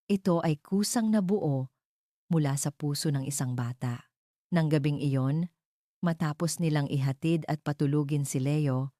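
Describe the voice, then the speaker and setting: A woman's voice: sits low, warm tone, no echo, clean studio sound. A woman storyteller reading a scripted romance narration in an earnest, sentimental and formal manner. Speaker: storyteller and channel host.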